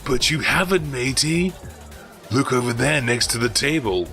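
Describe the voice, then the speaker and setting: A man's voice: mid-range voice, expressive, normal speed, sharp tone, soft background music. A man acting a laid-back, mocking villain's sidekick in a sci-fi audio drama, drawling, teasing and matey.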